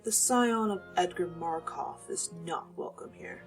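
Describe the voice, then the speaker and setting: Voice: mid-range voice, unhurried, soft background music, pitched above the performer's own voice, lightly acted. A performer voicing an ancient, powerful female vampire hostess in a fantasy audio drama, musical and feminine, sarcastic, with theatrical mock respect. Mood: disdainful.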